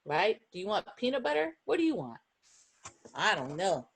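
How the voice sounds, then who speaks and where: A woman reading a picture book aloud to young children, expressive and encouraging, with chatty asides and questions to her listeners. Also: medium pitch, normal speed, creaky, breathy edge. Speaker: children's storybook reader.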